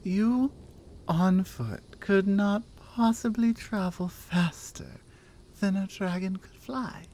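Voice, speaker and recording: A woman's voice: medium pitch, warm tone. A woman playing a strong, teasing Nordic warrior in an ASMR roleplay, with a Nordic accent and a dry, playful, skeptical manner. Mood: amused, skeptical. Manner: playful, dry, conversational with pauses. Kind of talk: ASMR roleplay audio drama.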